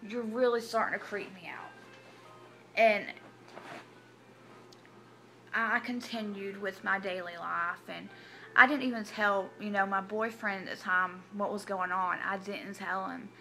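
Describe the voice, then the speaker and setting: A woman, telling her personal story to camera in a halting, hesitant way with many restarts. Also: mid-range voice, steady tone, pushed and bright, faint music behind, poor audio. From Tennessee. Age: 20s. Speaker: vlogger. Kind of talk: personal confessional vlog.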